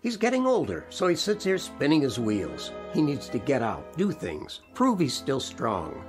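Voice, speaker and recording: An actor, a man, playing a reasonable family doctor in a radio drama, measured, tactful and reassuring. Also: a little high, huge pitch swings, music in the background. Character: patient, reasonable male family doctor, gently candid.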